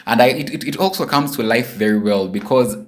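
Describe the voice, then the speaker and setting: A man's voice: medium pitch, a little fast. A man in his twenties from Uganda, talking casually and directly to viewers in a travel vlog. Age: 20s. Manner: chatty, repeats place names, addresses viewers directly. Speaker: vlogger.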